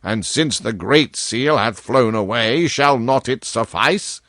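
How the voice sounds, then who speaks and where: Storybook monarch played by a man: aged, failing yet imperious, very unhurried delivery, dry close sound. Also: mid-range voice, lively intonation, a bit bright, no room sound. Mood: wrathful. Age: older. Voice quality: feeble, weighty. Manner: slow archaic royal speech, commanding. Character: frail old dying king, wrathful and sorrowful by turns.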